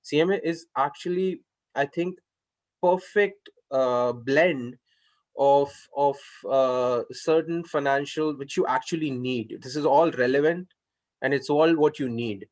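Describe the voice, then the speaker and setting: A man's voice: mid-range voice, unhurried. Hesitant man from India. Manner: hesitant, many restarts and fillers. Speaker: interviewer. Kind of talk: casual video call conversation.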